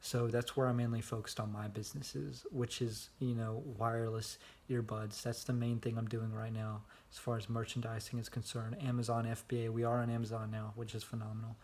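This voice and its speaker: A young man records a casual vlog on his phone held at arm's length. His voice sits at an ordinary pitch but stays flat and monotone, with little rise or fall. He rambles along with plenty of fillers and restarts. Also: a little fast, hardly any pauses. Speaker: vlogger.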